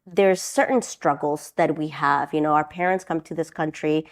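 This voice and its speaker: A woman's voice: low voice, steady tone, normal speed. A woman in her thirties from Ecuador, a guest attorney in an interview, earnest and thoughtful. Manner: earnest, thoughtful, laughs easily. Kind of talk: casual interview.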